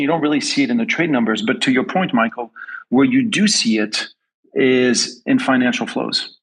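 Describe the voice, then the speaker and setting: Husky-voiced Frenchman with a light accent. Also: medium pitch, a bit bright, low-quality recording. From France. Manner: thoughtful, repeats words while thinking aloud. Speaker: guest investment strategist. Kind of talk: casual interview answers.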